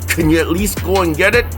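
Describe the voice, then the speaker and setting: An actor playing an exasperated, sarcastic, long-suffering sidekick in a comedy horror audio drama. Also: male voice, a little high. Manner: sarcastic, whiny.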